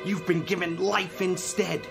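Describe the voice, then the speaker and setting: Self-satisfied lawyer, higher-pitched. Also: male voice, fairly even intonation, a little slow, vocal fry, music in the background. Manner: pleased with himself. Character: self-congratulating male defense lawyer. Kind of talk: radio drama acting.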